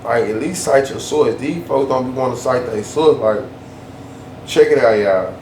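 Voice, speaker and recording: A man's voice: medium pitch, normal speed, darkish tone. A man ranting casually in a loose, slangy way, often repeating himself for emphasis. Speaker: YouTube storyteller. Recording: echoey room, faint background hum.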